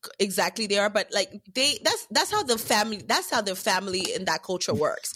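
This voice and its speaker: A woman's voice: medium pitch, very animated, a little fast, a bit bright, husky. A woman co-hosting a casual podcast chat, speaking emphatically and repeating words to drive her points home. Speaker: podcast co-host.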